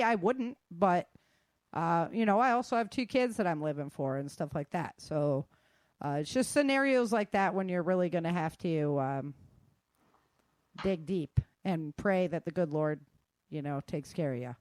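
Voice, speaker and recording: A woman's voice: lowish voice, expressive, moderate pace, darkish tone, no room sound. A woman hosting a talk show, giving casual, emphatic advice straight to her listeners. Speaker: host of a self-defense and survival show.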